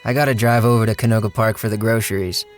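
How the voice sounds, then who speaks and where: A man's voice: on the low side, fairly even intonation, fast, soft background music, natural voice. An actor playing an easygoing handyman with a folksy, rambling way of telling his shady story in a radio drama. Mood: wary, bantering. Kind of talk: radio drama first-person narration and dialogue.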